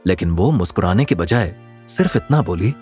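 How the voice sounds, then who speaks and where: A man's voice: deep voice, animated, brisk, darkish tone, soft background music. A man narrating a scripted audio drama in a dramatic storytelling style.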